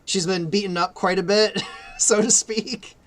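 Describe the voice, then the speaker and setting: A man's voice: high-pitched, steady tone, unhurried, loud music behind the voice. A man hosting a casual chat about his characters, laughing a lot. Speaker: creator and host.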